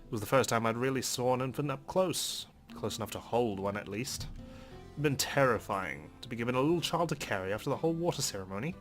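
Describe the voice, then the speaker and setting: A man's voice: mid-range voice, animated, fast talker. A man hosting a let's play, reading a visual novel aloud as narration with casual commentary of his own. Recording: faint game music behind. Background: game music.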